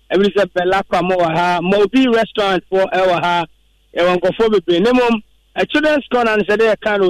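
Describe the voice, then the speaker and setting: A man's voice: sits high, dry recording, poor audio. A Ghanaian man presenting live radio at an outdoor family event, chatting and asking questions in an upbeat way.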